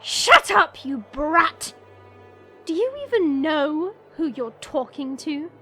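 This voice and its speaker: A woman's voice: high-pitched, expressive, slow, pushed and bright, faint music behind, heavily acted. A woman playing a haughty, hot-tempered dragon princess in human form, indignant and imperious, in an audio roleplay. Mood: scornful, irritated.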